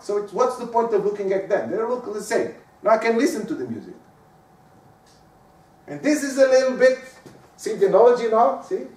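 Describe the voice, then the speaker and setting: A man from Portugal with a Portuguese accent, whose first language is Portuguese, giving a lively lecture on presenting and often asking the audience rhetorical questions. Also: sits high. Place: lecture room. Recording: echoey room, speaker far from the mic.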